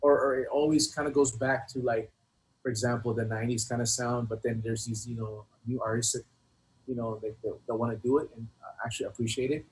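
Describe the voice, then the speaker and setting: A man speaking casually as a music interview guest, in halting, repetitive phrases with many filler words. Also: mid-range voice, fairly even intonation, a little hiss or hum, low-quality recording. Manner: halting, repetitive phrasing with frequent "you know". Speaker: guest hip hop artist.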